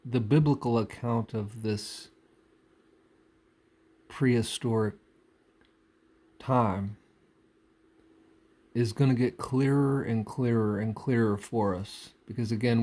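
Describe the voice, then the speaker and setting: A man giving an unscripted spiritual monologue in halting, deliberate phrases with pauses and repetition. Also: mid-range voice, fairly even intonation, warm tone. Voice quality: frequent throat clearing. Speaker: spiritual speaker.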